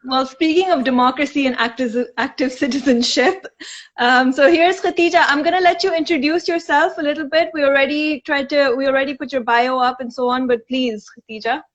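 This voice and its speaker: High-voiced woman moderating a lo-fi call. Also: fairly quick. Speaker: host from the organizer. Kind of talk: moderating a live talk. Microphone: video call. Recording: dull lo-fi live stream audio.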